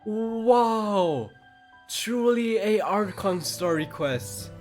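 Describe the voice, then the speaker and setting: A woman's voice: mid-range voice, sing-song, normal speed. A woman giving casual, enthusiastic, exclamatory reaction commentary over a video game. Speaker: YouTube reactor. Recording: game music behind.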